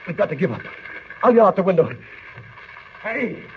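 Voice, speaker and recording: An actor playing a brave, protective adventurer in a 1940s-style radio serial, taking charge with urgency and reassurance. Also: male voice, high-pitched, big pitch swings, normal speed. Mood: urgent, alarmed. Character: Bill, brave protective adventurer, grown man. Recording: noisy, muffled vintage radio recording.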